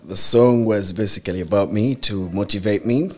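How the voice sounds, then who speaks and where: A songwriter guest on a podcast, answering casually in a soft-spoken way with many "you know" fillers. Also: low-pitched, moderate pace.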